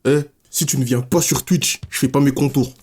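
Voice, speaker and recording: A man's voice: mid-range voice, steady tone, moderate pace, background noise. A man in his twenties reacting as a streamer, chatting informally and slangily, teasing his viewers and repeating himself. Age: 20s. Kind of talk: casual reaction commentary, slangy chat.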